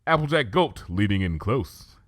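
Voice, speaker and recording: A man's voice: fairly low pitch, sing-song, moderate pace, fairly bright tone, no echo, studio quality. A man reading scripted story narration for a fan fiction.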